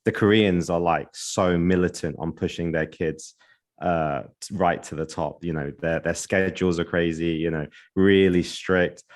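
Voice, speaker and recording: Male podcast host with a deep voice who asks curious, rambling questions. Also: fairly even intonation, normal speed. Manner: inquisitive, asking long questions. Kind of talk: casual interview chat.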